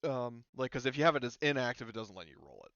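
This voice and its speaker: A man's voice: medium pitch, very animated, quick pace, a bit bright, dry recording, lo-fi sound. A man running a tabletop roleplaying game as game master, casually explaining rules.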